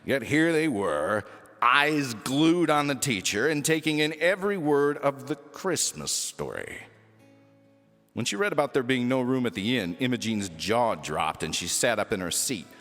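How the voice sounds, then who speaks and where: A man reading a children's story aloud as lively scripted narration. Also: medium pitch, moderate pace. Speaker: storybook reader. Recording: echoey hall reverb with music.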